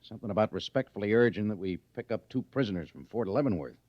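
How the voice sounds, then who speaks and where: Brief: man as senior frontier officer, low voice, very quick, probing, businesslike, muffled vintage radio recording. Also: lively intonation, no echo, lightly acted. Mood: brisk, skeptical. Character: brisk, skeptical male fort major, senior officer. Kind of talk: old-time radio western acting.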